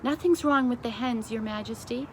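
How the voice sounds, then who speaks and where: A woman reader plays a deferential storybook butler. He is apologetic and confidential, pitched up, with faint noise in the recording. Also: normal speed, natural voice. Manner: apologetic, whispered asides. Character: deferential, hushed male royal servant.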